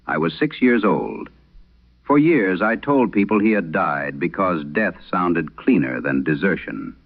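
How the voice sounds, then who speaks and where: A man playing an aging, haunted priest, telling a solemn horror radio monologue with measured, brooding, confessional delivery. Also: deep voice, gravelly, phone-quality sound, heavily acted. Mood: confessional, sorrowful.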